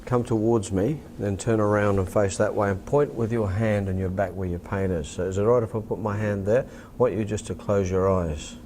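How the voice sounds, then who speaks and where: Deep-voiced, soft-spoken Australian man. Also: a little fast, few pauses, slight background noise. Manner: calm, directive, short simple phrases. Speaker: hypnotist practitioner. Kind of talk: hands-on hypnosis session, giving instructions and questions.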